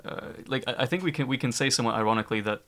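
A man's voice: mid-range voice, rapid-fire, a bit bright. A man from Ukraine speaking as a guest on a discussion panel, candid and emphatic, often restarting his sentences.

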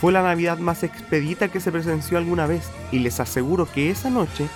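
A man's voice: fairly high pitch, brisk. A man with a Chilean accent narrating a scripted radio play in a colloquial storytelling manner. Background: music.

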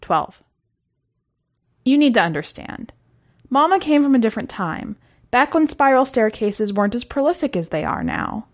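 A woman's voice: fairly high pitch, sing-song, normal speed, long pauses. A woman reading a first-person short story aloud in a conversational, wry way. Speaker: story narrator.

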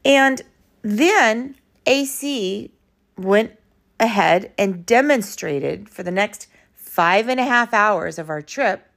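A woman hosting a podcast in an informal, conversational and emphatic way, telling personal stories in a slightly hoarse voice. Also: medium pitch. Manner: conversational, emphatic, uses rhetorical questions. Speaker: podcast host.

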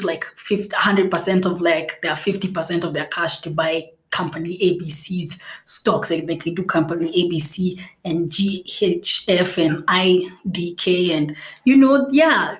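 A young woman whose native language is Swahili, hosting a casual solo podcast, chatty and playful with frequent laughs, fillers and restarts. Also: fairly low pitch. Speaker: podcast host.

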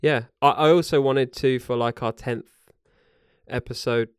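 A man with a New Zealand accent chats loosely on a home podcast, often correcting himself mid-sentence and trailing off. His voice is smooth and resonant, recorded close and dry. He keeps a relaxed, rambling conversational flow. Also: medium pitch, a little slow, no echo. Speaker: podcast co-host. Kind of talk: casual chat. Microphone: podcast mic.

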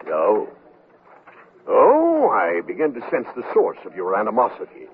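A man's voice: fairly low pitch, huge pitch swings, unhurried, exaggerated voice. An actor playing a flustered, proper company chairman in a radio comedy, speaking formally and with some stammering. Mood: wary, formal. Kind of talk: 1970s radio comedy acting. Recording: noisy, narrow-band 1979 radio recording.

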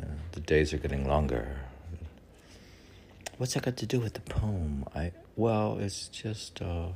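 Slow, gravelly deep-voiced man rambling. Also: darkish tone, a little hiss or hum. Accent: New York. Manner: meandering, talks back to himself with many fillers. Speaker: poet reading his own poems. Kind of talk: rambling spoken commentary and poetry reading.

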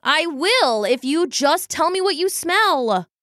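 A woman's voice: sits high, expressive, slow pace, a bit bright, no echo, clean studio sound, pitched above the performer's own voice, full character voice. A woman voicing a small young weasel brother in a children's story, earnest, teasing and coaxing.

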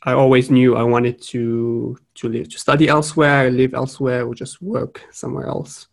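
Young man, flat voice. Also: mid-range voice, moderate pace, creaky. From Morocco. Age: young adult. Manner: unscripted, searching for words, small restarts. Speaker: podcast guest, trading graduate. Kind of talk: casual podcast interview.

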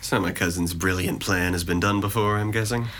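A man's voice: low-pitched, fairly even intonation, a little fast, a bit bright. A man playing a guarded, self-deprecating young man in an audio drama, talking in a dry, sarcastic, wordy way. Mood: probing, wry.

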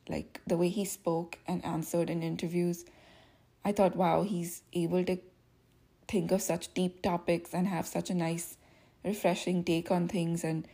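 A woman's voice: lowish voice, vocal fry, mellow, breathy edge. A woman hosting her own podcast, talking alone in a casual, reflective way that rambles with many fillers. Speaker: podcast host. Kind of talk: casual solo podcast talk.

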